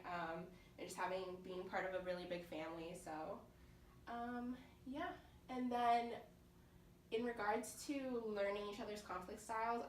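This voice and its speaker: Young woman, medium pitch, casual student presentation, echoey, faint background noise. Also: distant mic. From South Florida, United States. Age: young adult. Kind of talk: informal class presentation. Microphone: distant microphone.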